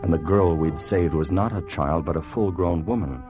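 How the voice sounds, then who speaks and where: An actor playing an anxious, timid time traveller who also narrates, in an earnest, dramatic 1950s radio style. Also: male voice, low-pitched, normal speed, natural voice. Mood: hushed wonder. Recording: dull vintage radio recording with music.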